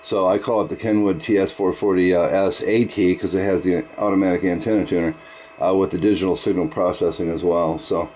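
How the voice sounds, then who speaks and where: A man with a low voice chats casually as a ham radio operator. He sounds enthusiastic and folksy, with some background noise behind him. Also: fairly even intonation, fairly quick, darkish tone, low-quality recording.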